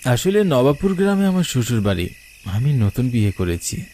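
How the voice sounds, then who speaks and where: A man's voice: mid-range voice, very animated, normal speed, warm tone, natural voice. A man playing a polite, hesitant and curious newly married young shopkeeper in a cartoon ghost story. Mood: polite, eager. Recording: clear background noise of night crickets.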